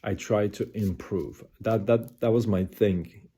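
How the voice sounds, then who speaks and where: A man in his forties talks slowly in a very deep, mellow voice, hosting his own late-night live stream. He rambles, circles back and repeats himself, with plenty of ums and likes. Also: a little hiss or hum. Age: 40s. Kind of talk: late-night casual monologue.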